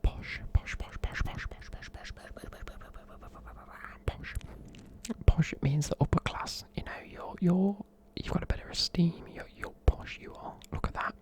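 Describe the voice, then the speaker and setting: A man, whispering softly in a chatty, rambling way while explaining words. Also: high voice, a little slow. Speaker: ASMR creator. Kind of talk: whispered ASMR explaining slang.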